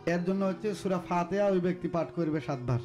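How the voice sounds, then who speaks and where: A man's voice: high voice, a little fast. A man, a guest folk healer explaining things instructively and repeating his points. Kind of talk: explanatory interview talk. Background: music.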